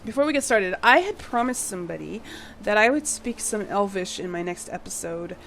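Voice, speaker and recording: Slightly husky woman, chatty and tired. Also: mid-range voice, expressive, a little fast, fairly bright tone, slight background noise, low-quality recording. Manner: chatty, apologetic, laughs often. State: slightly husky from a fading cold; tired, yawning late at night. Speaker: gaming channel host. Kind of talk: casual game-building commentary. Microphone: home voice mic.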